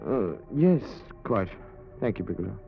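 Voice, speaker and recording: An actor with a British accent playing an obsessive, possessive ventriloquist in an overwrought, theatrical 1940s radio style. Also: male voice, lowish voice, sing-song, a little slow, vocal fry, faint music behind, full character voice. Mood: dejected, bitter. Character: unhinged, possessive male ventriloquist obsessed with his dummy. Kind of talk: 1940s old-time radio acting. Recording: muffled, noisy vintage radio recording.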